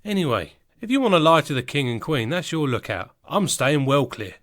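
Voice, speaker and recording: A sly, cheeky lowborn sidekick played by a man with a British accent in an audio comedy. He talks in chummy slang, and his slightly high voice bounces around with lively inflection. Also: fairly quick. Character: cheeky lowly male castle guest, chummy and sly. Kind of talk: comedy audio drama acting.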